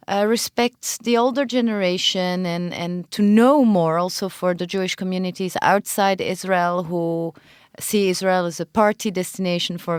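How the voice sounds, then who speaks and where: A woman's voice: medium pitch, smooth, dry recording. Earnest woman speaking with a dry sound. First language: not Hebrew. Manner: earnest, searching for words with frequent pauses. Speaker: playwright and film director, interview guest. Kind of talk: podcast interview conversation.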